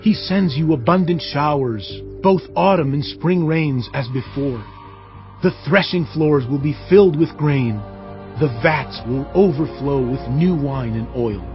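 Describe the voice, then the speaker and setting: A man's voice: fairly high pitch, a little slow. A man narrating dramatized scripture with earnest, declamatory phrasing. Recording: dull lo-fi audio. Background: faint background music.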